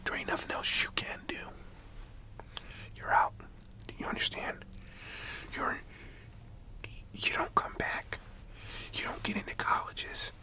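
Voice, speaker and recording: A man playing a stern but caring high school principal in a whispered ASMR roleplay, scolding a student in informal, folksy language with a breathy voice. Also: unhurried, long pauses, lightly acted. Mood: stern, warning. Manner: informal, admonishing, folksy phrasing.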